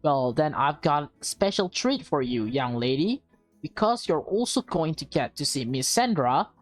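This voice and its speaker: A woman's voice: low voice, lively intonation, normal speed, no room sound, faint music behind. Woman doing sweet doting mom talking to her little kid, visual novel, dry mic. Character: doting, cheerful young mother talking to her small daughter.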